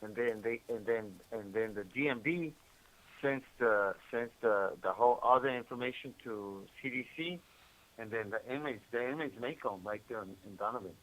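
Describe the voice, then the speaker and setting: A man's voice: medium pitch, moderate pace, a bit bright, rough recording. A man calling by phone from prison, chatting casually in slangy, repetitive bursts. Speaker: incarcerated caller. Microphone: phone call.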